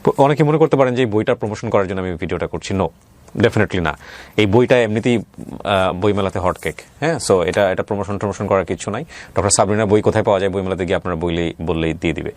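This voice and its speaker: A man's voice: low voice, fast talker, a little mellow. A Bangladeshi man hosting an interview show, speaking emphatically and mixing in English words. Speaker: interview host. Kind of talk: talk show interviewing and addressing viewers.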